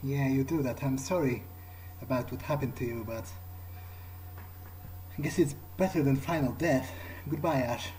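Casting: male gamer, medium pitch, wry muttered in-character commentary, clear background noise. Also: moderate pace, mellow. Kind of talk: in-character game commentary, thinking aloud. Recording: clearly noisy, game ambience behind.

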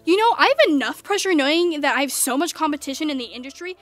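Woman playing a fast, high-voiced fed-up teenage girlfriend. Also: lively intonation, a bit bright, faint music behind. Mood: angry, accusing. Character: angry, pressured teenage girlfriend, sarcastic and accusing. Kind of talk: radio play acting.

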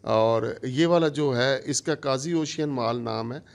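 A man's voice: medium pitch, measured pace, gravelly. A middle-aged man giving a formal introduction as a company operations manager, steadily listing items one by one, speaking into a handheld mic in a conference room.

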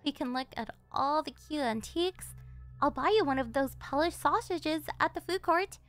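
A high-pitched yandere delinquent girlfriend, acted by a woman for an ASMR audio roleplay. She is clingy and doting, pleading sweetly with a possessive, threatening edge. Music plays behind her voice. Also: normal speed, fairly bright tone. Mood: doting, wistful. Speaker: voice actress.

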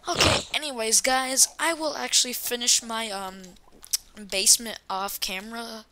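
A woman's voice: on the high side, fairly even intonation, moderate pace, noisy background. A young woman hosting casual gameplay commentary, talking to viewers in a self-deprecating way. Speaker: gaming video host.

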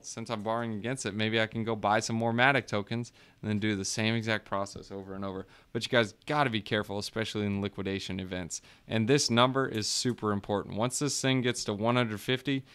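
A man's voice: lowish voice, a bit bright, clear, resonant tone. A man casually walking viewers through a screen share, joking and chatty, calling them guys. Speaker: crypto YouTuber.

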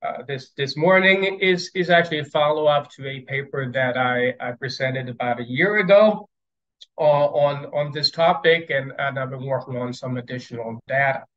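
A man in his fifties from Sweden giving an academic keynote over a video call, pausing often with fillers and repeated words. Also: mid-range voice, a bit bright. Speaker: plenary speaker. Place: indoors at home, stairs behind. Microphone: video call webcam. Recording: dull lo-fi video call audio.

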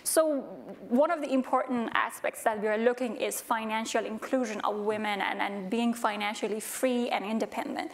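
A woman's voice: a little high, steady tone, moderate pace, hardly any pauses. A woman in her thirties from Afghanistan, answering on a conference panel in earnest, with many fillers, on a lapel mic. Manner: earnest, many fillers, non-native phrasing. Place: large conference stage.

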